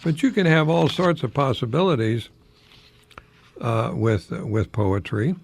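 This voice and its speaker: Older American man with a creaky voice. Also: mid-range voice, lively intonation, unhurried, slight background noise. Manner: professorial, chatty, rhetorical questions, chuckling asides. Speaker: radio host, retired college professor. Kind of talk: informal radio talk with verse recitation.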